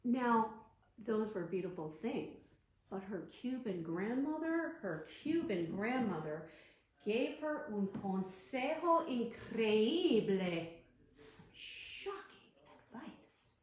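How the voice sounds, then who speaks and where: A woman librarian reading a storybook aloud to children on a livestream, gentle and encouraging. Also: on the high side, animated, slow. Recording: echoey room.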